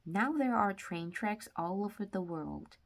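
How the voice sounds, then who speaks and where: A woman reading a children's nonfiction book aloud with simple, deliberate phrasing, sometimes stumbling over words. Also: medium pitch, expressive, gravelly. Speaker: read-aloud narrator of children's books.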